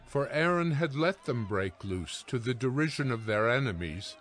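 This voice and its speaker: A man narrating scripture from a script, solemn and deliberate, as in an audio Bible. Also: medium pitch, animated, fairly bright tone. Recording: music behind the voice.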